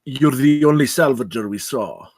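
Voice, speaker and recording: An actor at a script table read playing a suspicious, authoritative head scientist of sixty. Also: male voice, mid-range voice, moderate pace, no room sound, clean studio sound, natural voice. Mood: suspicious, stern. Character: suspicious sixty-year-old head scientist, lean, towering, authoritative man.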